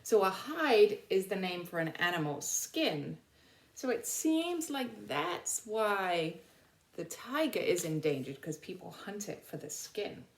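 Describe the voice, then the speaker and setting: A woman teacher talks children through a read-aloud lesson. Her pitch moves around in a lively way as she explains and asks simple questions. The room adds a noticeable echo to her voice. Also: slow, vocal fry. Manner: explanatory, checks understanding with frequent questions. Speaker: teacher reading a picture book aloud to children. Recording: roomy, echoing sound.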